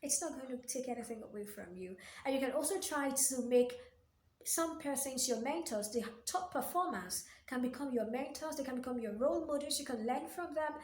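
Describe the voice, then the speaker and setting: A woman with a high voice talks the listener through workplace advice, earnest and direct, repeating key phrases and asking pointed questions. Her voice rings a little in an echoey room. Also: a little fast, a little hiss or hum. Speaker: workplace coach and video host. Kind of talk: motivational advice talk to camera.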